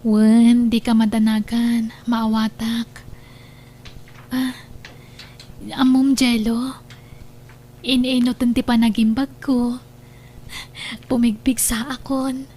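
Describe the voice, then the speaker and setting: A woman acting a gentle, trusting, ailing young girlfriend in an Ilocano audio drama, with a soft, tender manner. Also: on the high side, flat delivery, slow, pauses often. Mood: tender, hopeful.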